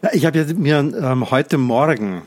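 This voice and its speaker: A man hosts a casual podcast interview. His voice sits at a medium pitch for a man, and he often pauses on fillers as he works through his prepared questions. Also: lively intonation, a little mellow. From Netherlands. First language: Dutch. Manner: prepared, hesitates with "ähm". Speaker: podcast host.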